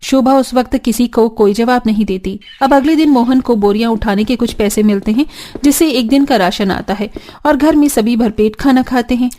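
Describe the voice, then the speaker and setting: A woman's voice: a little high, moderate pace, mellow. A woman narrating a scripted cartoon story as its storyteller.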